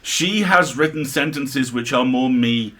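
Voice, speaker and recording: A man's voice: medium pitch, unhurried, fairly bright tone. A man co-hosting a casual livestream conversation, wry and sweary, repeating words for emphasis. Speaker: co-host and writer. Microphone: video call.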